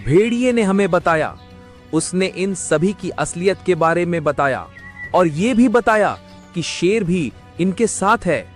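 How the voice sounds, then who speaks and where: A man's voice: sits high, expressive, unhurried, faint music behind. A man voicing a fierce, hot-headed cheetah pack leader in a cartoon, with a threatening manner. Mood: aggrieved. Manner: threatening, forceful. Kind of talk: animated moral-story character acting.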